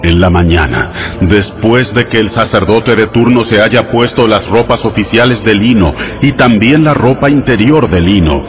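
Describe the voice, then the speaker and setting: An actor playing a solemn, commanding God who gives laws in a measured, instructive way in a dramatized audio Bible. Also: male voice, fairly low pitch, close to an everyday voice. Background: music.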